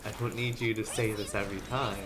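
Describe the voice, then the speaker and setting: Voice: mid-range voice, fairly even intonation, quick pace, loud music behind the voice. A game streamer chatting casually and ramblingly to viewers while playing. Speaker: livestreamer. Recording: background noise under the voice. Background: video game sounds.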